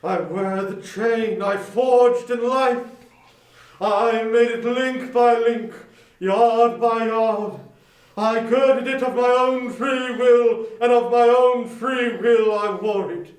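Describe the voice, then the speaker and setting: An actor playing the tormented ghost of a dead businessman in a dramatic reading, declaiming in drawn-out, anguished remorse. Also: very high-pitched, steady tone. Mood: mournful, weary. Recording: echoey room sound.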